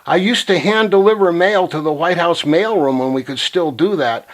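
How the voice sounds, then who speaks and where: Guy calling in with his big ideas, kinda high voice, real earnest and insistent. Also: normal speed. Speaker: caller. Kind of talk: phone call pitching ideas.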